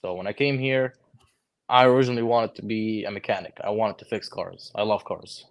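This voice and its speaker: A young man in his twenties from Lebanon, a guest chatting casually and telling his story in a relaxed way. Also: lowish voice, a little fast, vocal fry. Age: 20s. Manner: relaxed storytelling, lots of "bro" and "like", acts out quoted conversations. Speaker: guest, HVAC technician. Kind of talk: casual podcast chat.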